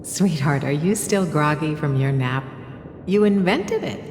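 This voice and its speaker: A woman playing a gentle, doting motherly figure in a game, speaking in an affectionate, soothing storytelling manner. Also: low-pitched, animated, unhurried, slight background noise. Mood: fond, teasing. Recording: reverberant hall-like echo with music behind.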